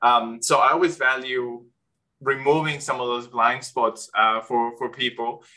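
A man interviews a guest, his questions full of restarts, "um"s and "like"s. His voice is bright and forceful at an ordinary male pitch. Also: steady tone, unhurried, low-quality recording. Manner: hesitant, many restarts and "um", "like". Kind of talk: conversational interview.

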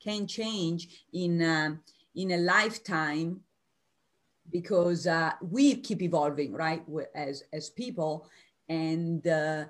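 Energetic Italian-accented woman coach. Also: low voice. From United States. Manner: lively, energetic, quick bursts with restarts. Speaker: career coach guest. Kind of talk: spontaneous interview answers.

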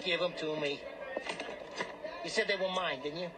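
An actor playing a brash, cocky small-time hustler and gambler in a radio drama. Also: male voice, fairly high pitch, fairly even intonation, moderate pace, close to an everyday voice. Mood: irritated, cocky. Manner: wheedling, cocky, streetwise wise-guy talk.

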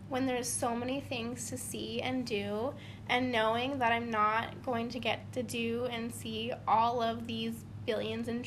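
Lively higher-voiced twenty-something woman. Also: background noise. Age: 20s. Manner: animated storytelling, acts out quotes. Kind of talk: casual chat.

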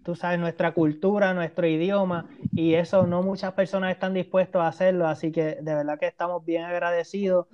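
Smooth-voiced man thanking a guest. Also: high-pitched, flat delivery, brisk, hardly any pauses, dull audio. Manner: grateful, warm thanks with fillers like eh and verdad. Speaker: co-host. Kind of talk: casual interview wrap-up.

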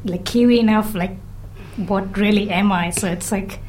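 A young woman from Malaysia, a PhD student speaking softly and reflectively as a podcast guest. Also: medium pitch, steady tone, moderate pace, rough recording. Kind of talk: casual conversation. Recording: faint background noise.

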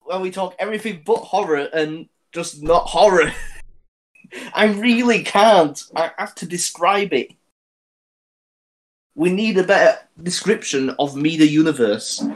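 A man co-hosting a casual podcast chat, talking haltingly and often restarting his sentences. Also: high-pitched. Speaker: podcast co-host.

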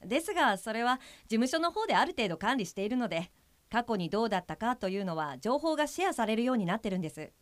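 Actress playing a polite lady guide in an audio play, matter of fact but firm, highish voice, dry recording. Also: sing-song, fast, no echo. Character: polite, frank woman who guides blind people. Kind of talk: audio drama acting.

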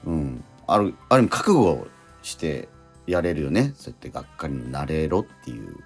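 A man co-hosting a radio show in casual chat, reflective and halting, with frequent murmured interjections. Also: very deep voice, sing-song, breathy edge. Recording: music behind the voice. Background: background music.

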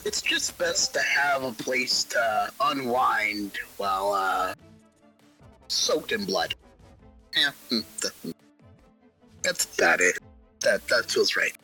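Slow offhand vampire bishop someone acting it out, breathy halting voice that swings around, audio drama, music behind. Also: medium pitch, animated, sharp tone, exaggerated voice, low-quality recording. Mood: unhurried, offhand. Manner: halting, offhand, drawn-out hesitant delivery. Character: casual, unsettling vampire bishop and cult leader. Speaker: interviewee in roleplay. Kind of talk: unscripted in-character audio drama.

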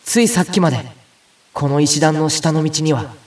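High-pitched, friendly young man played by an actor. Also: expressive, normal speed, a little mellow, recorded from a distance, natural voice. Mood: uneasy narration. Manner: chatty, comic, flustered quipping. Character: friendly, excitable ordinary young man, self-styled big brother. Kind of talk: Japanese audio drama acting with first-person narration.

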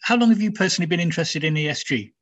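A man from Nigeria hosting a podcast interview, measured and trailing into low asides. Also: animated, a bit bright, dull audio. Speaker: podcast host.

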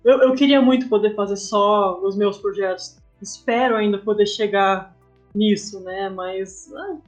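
A woman's voice: medium pitch, measured pace. A woman with a Brazilian accent, chatting casually as a podcast guest. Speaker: comic artist, podcast guest. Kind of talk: casual interview chat. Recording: dull lo-fi sound, faint music.

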